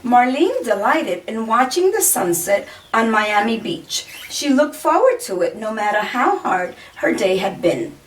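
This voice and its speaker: Woman reading a picture book, lively intonation. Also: on the high side. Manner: deliberate, pauses to point out the pictures. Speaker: children's author reading own picture book aloud. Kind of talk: read-aloud storytelling for children. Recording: distant mic, faint background noise.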